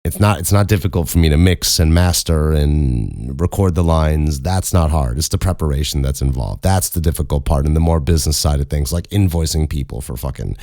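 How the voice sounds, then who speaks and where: A young man hosting a casual Q and A, with a very low speaking voice, rambling and self-mocking and swearing casually. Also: a little fast, hardly any pauses, warm tone, no room sound, clean studio sound. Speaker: podcast host and voice actor. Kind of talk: casual Q and A chat.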